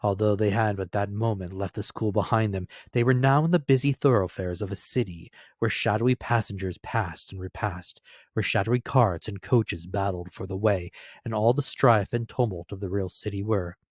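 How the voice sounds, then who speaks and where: A man with a fairly low voice narrates an old storybook tale dramatically. The recording is close and dry, with very little room sound. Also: normal speed, no echo.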